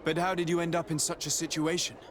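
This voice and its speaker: An actor playing a calm, authoritative young nobleman and diplomat in a video game. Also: fairly high pitch, fast talker, lightly acted. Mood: friendly. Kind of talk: video game cutscene acting. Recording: clear background ambience noise.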